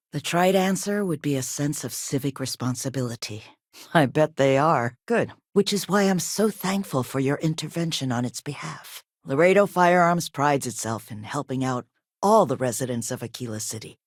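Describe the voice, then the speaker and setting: Video game chief executive: low female voice, very expressive range, courteous but firm, dry close sound. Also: no room sound. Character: poised, businesslike female arms company chief executive, quietly assertive. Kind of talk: video game dialogue acting.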